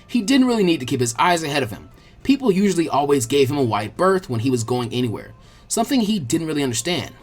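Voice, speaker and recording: A man reading a story aloud as an expressive, colloquial audiobook narrator, with a husky voice. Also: on the high side. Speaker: fan fiction audiobook narrator.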